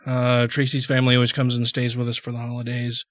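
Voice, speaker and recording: Podcast host, male, forties, mid-pitched, rambling chatty delivery, dry close mic. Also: completely flat delivery, fairly bright tone, no room sound. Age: 40s. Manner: rambling, halting restarts. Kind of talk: casual chat.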